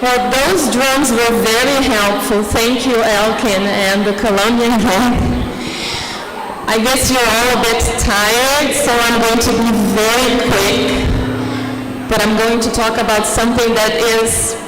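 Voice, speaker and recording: A woman's voice: fairly high pitch, steady tone, measured pace, music in the background. A woman from Brazil, a non-native English speaker, giving an earnest talk at a community forum as a language director and asking her listeners rhetorical questions. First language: Portuguese. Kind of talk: slow, earnest conference talk. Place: large hall at a community forum. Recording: echoey hall.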